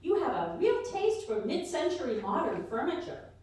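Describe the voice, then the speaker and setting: A woman's voice: sits high, sing-song, moderate pace, darkish tone. Casting: chirpy female radio host played by an actress, courteous and inquisitive, for staged comedy interview; distant mic in reverberant room. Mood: curious, polite. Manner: exclamatory, politely curious. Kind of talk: live comic radio play interview. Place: live fundraiser event room before an audience. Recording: echoey live hall, distant mic.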